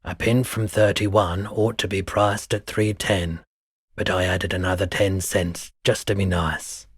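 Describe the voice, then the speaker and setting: A husky, deep-voiced, laid-back pawnbroker. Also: male voice, flat delivery, no echo, clean studio sound. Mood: businesslike, relaxed. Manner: unhurried, low-key, deliberate. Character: laid-back, world-weary male pawnshop owner, soft-spoken and wistful. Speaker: video game voice actor. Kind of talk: video game character dialogue.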